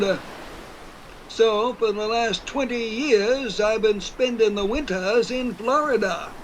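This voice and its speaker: A man acts out a high-pitched old New England yarn-spinner in his eighties, folksy, stubborn and fond of repeating himself. He takes his time over every phrase in this audio play, with some steady noise behind him. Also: poor audio. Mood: folksy, rambling. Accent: rural New England. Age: 80s. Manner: rambling, repetitive, folksy dialect. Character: folksy, stubborn eighty-four-year-old New Hampshire whiskey drinker. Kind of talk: first-person audio play storytelling.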